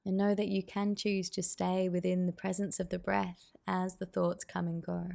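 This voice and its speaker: A woman guiding a meditation as a teacher, giving soothing, unhurried instructions with pauses. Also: fairly low pitch, level tone, vocal fry, dark tone. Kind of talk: guided meditation.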